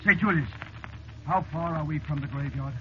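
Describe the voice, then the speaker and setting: Casting: a man as odd, eerily unbothered American official. High-pitched, hesitant yet bossy, 1940s radio acting, faintly noisy old recording. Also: normal speed, dull audio, lightly acted. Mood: dreamy.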